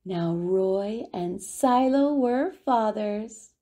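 Slow, mellow woman reading a picture book. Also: mid-range voice. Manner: expressive, deliberate storytelling with drawn-out words. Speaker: children's storyteller at a family centre.

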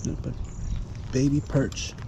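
A man narrates his fishing trip from a kayak in a relaxed, chatty way, often pausing on fillers. His voice sits at a middling pitch. It is picked up by an action camera outdoors, with clear water and wind noise around him. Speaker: fishing vlog host. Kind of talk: casual fishing commentary. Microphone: action camera mounted on the kayak.

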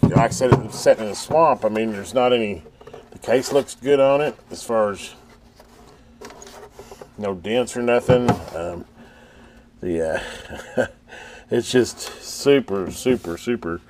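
A man talking casually and haltingly in a folksy way, thinking aloud as he hosts a hands-on repair video at an indoor workbench. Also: lowish voice. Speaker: host of a radio repair channel.

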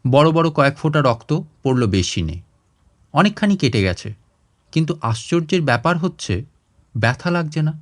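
A man narrating a literary short story in an expressive audiobook style. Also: on the high side, normal speed.

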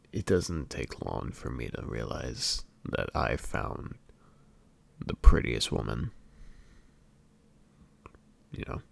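A man playing an affectionate, clingy emo boyfriend in an intimate ASMR roleplay, murmuring tenderly and haltingly with a breathy, gravelly, very deep voice. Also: full character voice. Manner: tender, unhurried, halting and doting.

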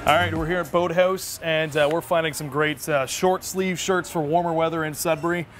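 A man's voice: on the high side, steady tone, moderate pace. A man with a Canadian accent hosting upbeat, jokey promotional banter on camera in a shopping mall. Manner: jokey, punning. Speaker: host, radio personality. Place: inside a shopping mall and its stores. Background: background music.

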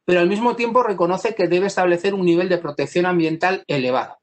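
Hesitant man lecturing on law. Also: high-pitched. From Spain. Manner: thoughtful, many pauses, fillers and restarts. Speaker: law professor giving a lecture. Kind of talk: academic lecture.

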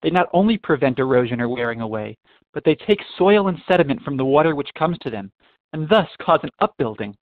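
A man's voice: on the high side, expressive, fairly quick, no room sound. A man reading a nature book aloud. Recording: dry, phone-like narrow sound.

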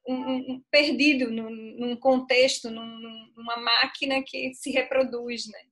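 A woman's voice: fairly high pitch, steady tone, unhurried, a bit bright. A woman professor joining an online lecture over a video call, backing up the speaker and restarting her sentences as she thinks. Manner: backchannels with "uhum", hesitant restarts. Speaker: professor co-hosting.

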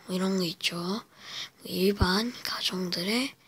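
Woman vlogger, whispering, breathy and bright, slow and halting delivery at home. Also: on the low side. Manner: slow, hesitant, with many fillers. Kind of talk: whispered casual storytelling to camera. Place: home, indoors.

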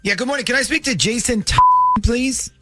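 A man's voice: very high-pitched, huge pitch swings, a little fast, over loud music, voice pushed up, exaggerated voice. A man playing a matter-of-fact police detective in a casual, official-sounding way on a prank phone call.

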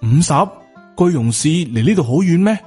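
A man's voice: fairly high pitch, expressive, moderate pace, dark tone, breathy edge, soft background music, pitched above the performer's own voice. A man with a Hong Kong Cantonese accent voicing an anxious, hot-headed young student apprentice in a story, questioning and indignant.

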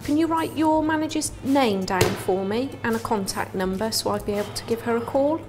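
A woman's voice: fairly high pitch, brisk, over music, natural voice. A woman in her thirties playing a polite, persistent salon client in a scripted training role-play. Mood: persistent, put out. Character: adult female salon client, polite, persistent, matter-of-fact. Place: small office, indoors. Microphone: clip-on lapel microphone.

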